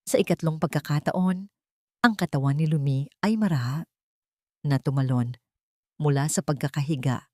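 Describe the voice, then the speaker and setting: A woman's voice: low-pitched, warm tone, no echo, clean studio sound. A woman narrating a dramatic audio story in an earnest, emotive reading style.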